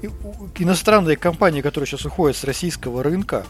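A man's voice: fairly high pitch, lively intonation, quick pace, music in the background. A man taking part in a casual panel discussion, cutting in with questions and objections.

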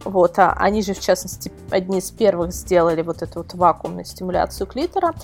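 A woman's voice: on the low side, a little fast, vocal fry, darkish tone, soft background music. A woman giving an informal, detailed explanation as a guest expert, talking through examples. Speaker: guest expert, editor of a product review site. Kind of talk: informal explanatory interview talk.

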